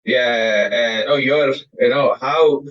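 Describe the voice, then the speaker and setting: A man's voice: medium pitch, slow pace, a bit bright, recorded from a distance, dull audio. A man hosting an interview over a call, speaking hesitantly with many fillers.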